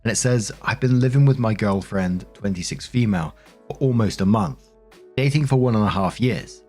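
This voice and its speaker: A man's voice: fairly low pitch, normal speed, a little mellow, faint music behind. A man reading online stories aloud in a chatty, conversational way, with casual commentary as the host.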